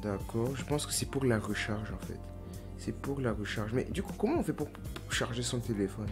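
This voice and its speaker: A young man talks through an unboxing at a relaxed, middle pitch, musing aloud as he goes. His delivery is slangy and self-mocking. Clear background music runs under his voice the whole time. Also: normal speed. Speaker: YouTuber. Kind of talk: casual unboxing vlog.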